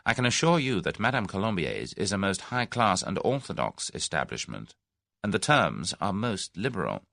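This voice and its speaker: A man reading the part of a large, smooth-talking, scheming businessman in an audiobook, measured and persuasive. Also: deep voice, very animated, pushed and bright, no room sound, clean studio sound, full character voice.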